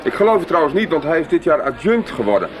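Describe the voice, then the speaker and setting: An actor playing a chatty, sardonic teenage schoolboy who jokes casually in a radio soap. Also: male voice, fairly high pitch, very animated, fast, crowd noise. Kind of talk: naturalistic radio soap acting. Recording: noisy background in school scene.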